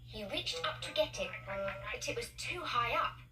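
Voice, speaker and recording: A woman narrating children's stories as a read-along, expressive, emphatic and playful. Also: on the high side, a little slow. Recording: distant-sounding, loud music behind. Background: music.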